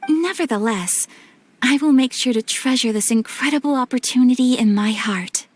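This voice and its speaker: A woman playing a gentle, polite young noblewoman in a video game, with a gracious and formal manner. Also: high voice, moderate pace, dry recording. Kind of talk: video game character acting.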